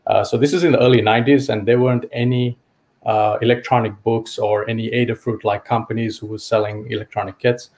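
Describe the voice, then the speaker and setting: A man's voice: on the low side, little pitch movement, fairly quick, darkish tone. A man from Fiji talking casually and enthusiastically, as a guest at a show-and-tell. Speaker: show-and-tell guest, electronics hobbyist and engineer.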